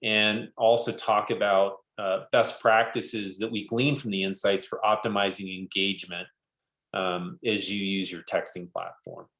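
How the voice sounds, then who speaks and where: A man's voice: fairly low pitch, level tone, a little slow, a bit bright. A man giving an informal business presentation, explaining things step by step and often restating himself. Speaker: webinar presenter. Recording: roomy, echoey sound.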